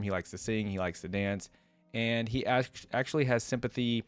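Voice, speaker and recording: A man's voice: lowish voice, no echo. A man hosting a reaction video, reading a story aloud and breaking into informal, chatty commentary. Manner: informal asides with frequent "like" and "right?".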